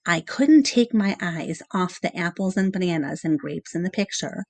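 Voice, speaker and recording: A woman reading a children's novel aloud in measured, expressive storybook narration with short pauses between phrases. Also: on the low side, a little hiss or hum.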